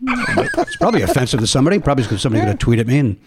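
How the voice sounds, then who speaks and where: A man's voice: mid-range voice, huge pitch swings, very fast, background noise. A man hosting a comedy podcast, chatting casually with a jokey, profane manner. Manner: jokey, profane, mock radio-DJ patter. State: says he is losing his voice. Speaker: podcast host.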